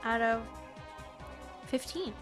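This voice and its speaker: A woman's voice: a little high, takes it slowly, music in the background. A woman chatting casually as a podcast co-host and quiz emcee. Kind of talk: casual banter between co-hosts.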